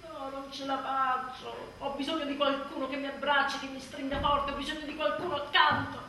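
A woman's voice: high-pitched, flat delivery, a little fast, sharp tone. An actress playing an exhausted, embittered young mother in a theatrical radio monologue, sardonic and volatile. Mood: weary despair. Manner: volatile, sardonic, swearing, swinging from outbursts to murmurs. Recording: roomy echoing stage, distant mic, faint noise.

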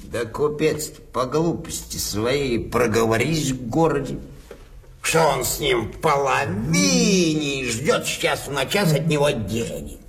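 An actor playing a self-satisfied, bribe-taking provincial Russian clerk in an obsequious, stammering, drawling way, in a classic comedy radio play. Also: male voice, medium pitch, very animated, unhurried, gravelly, slight background noise. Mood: confiding.

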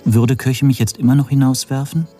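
An actor playing a brooding, anxious hotel guest under suspicion, narrating a radio drama in the first person in a measured, wry way. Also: male voice, medium pitch, level tone, mellow, soft background music, natural voice. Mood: brooding, introspective. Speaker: narrator and protagonist.

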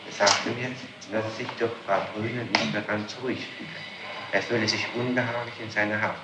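A man with a fairly low voice speaks as a prosecutor on an old, noisy tape. He is formal and deliberate in an echoing room, putting insistent questions or reading statements. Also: steady tone. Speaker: public prosecutor. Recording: noisy echoey archival tape recording.

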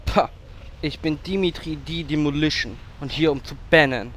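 A man's voice: a little high, moderate pace, a bit bright, a little hiss or hum, close to an everyday voice. A man playing a cocky, impatient warlock with a cheeky, boastful manner in a German fantasy parody audio drama. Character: Dimitri, cocky impatient trigger-happy warlock.

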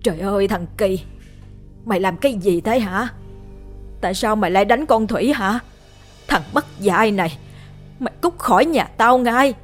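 A woman's voice: mid-range voice, expressive, a little slow, soft background music. Frail old mom, scolding and bitter, a woman putting her on. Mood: outraged. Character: elderly mother, weak and reproachful.

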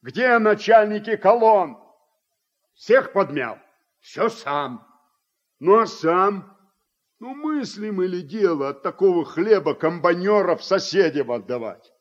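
Slow-spoken, blunt ageing farm man. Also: sits high, lively intonation. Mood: reproachful. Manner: earthy colloquial speech, reproachful and deliberate. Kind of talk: Soviet radio drama acting. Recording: dull, muffled old radio recording.

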